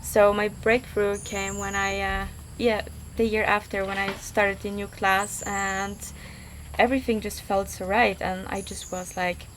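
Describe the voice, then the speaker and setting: A woman's voice: medium pitch, level tone, unhurried, slight background noise. A woman in her twenties from Poland with a Polish accent, a guest answering interview questions in casual, hesitant talk full of fillers and restarts. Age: 20s.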